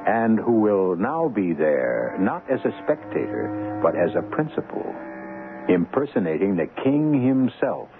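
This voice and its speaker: A man hosting and narrating a radio mystery in a theatrical, suspenseful, deliberate style. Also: on the low side, very animated. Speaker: host and narrator. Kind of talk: dramatic old-time radio mystery narration. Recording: muffled vintage radio broadcast with music.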